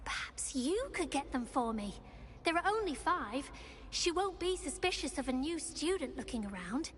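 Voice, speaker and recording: Bookish teen witch, British accent, high voice, polite, flustered, video game dialogue, faint ambience noise. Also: big pitch swings, normal speed. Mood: hopeful, pleading. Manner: chatty, apologetic, politely earnest.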